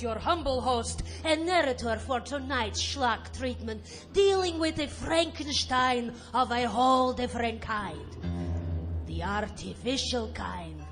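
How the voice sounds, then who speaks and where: Give me a woman as a campy doctor hosting schlock horror, narrating theatrically in a fairly high voice over faint music. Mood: campy welcome. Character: campy horror-show host doctor, tongue-in-cheek narrator. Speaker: host and narrator. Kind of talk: live theatrical scripted narration.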